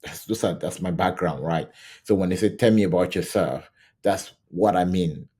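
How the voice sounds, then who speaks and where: Middle-aged male coach from Cameroon, low warm voice, loose chatty teaching style. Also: moderate pace, breathy edge. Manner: conversational, repeats "right?" and restarts often. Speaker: scrum coach. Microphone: camera mic, facing camera.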